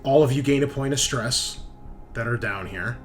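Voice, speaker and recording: A man's voice: medium pitch, normal speed. A man narrating a tabletop roleplaying game as game master, improvising in a casual, conversational way. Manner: casual, conversational, acts out characters' lines. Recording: loud background music. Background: music.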